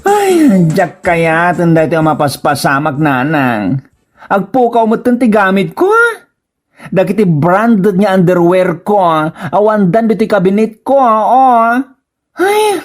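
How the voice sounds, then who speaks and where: Dramatic, whining Ilocano daughter played by a woman, young adult, highly animated intonation, for Filipino radio comedy. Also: a little slow, soft background music. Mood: distraught. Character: whiny, dramatic grown daughter fussing over belongings.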